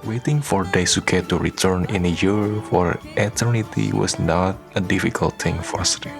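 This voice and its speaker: A man's voice: deep voice, moderate pace, gravelly. A man reading scripted narration for a radio drama. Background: music.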